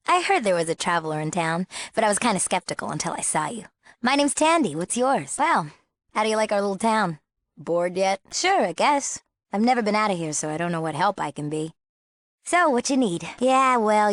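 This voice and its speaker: A woman's voice: on the low side, dry recording. A young woman with an American accent playing a bored, sassy small-town girl in a video game, casual and sardonic. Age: young adult. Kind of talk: video game character acting.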